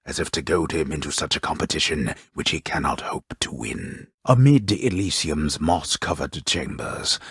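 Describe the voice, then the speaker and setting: A male actor playing a grave, pompous storyteller in a video game, narrating a mythic epic in an ornate, deliberate, dryly wry manner with a gravelly voice. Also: rumbling low, fairly bright tone, dry recording, studio quality, heavily acted. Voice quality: gravelly, husky. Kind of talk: theatrical scripted narration.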